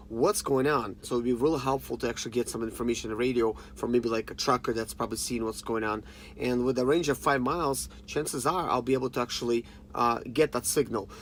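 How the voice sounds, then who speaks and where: Casual man reviewing over clearly noisy background. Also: medium pitch. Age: 30s. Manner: informal, halting, often addressing viewers directly. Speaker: review channel host. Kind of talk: casual unscripted product review.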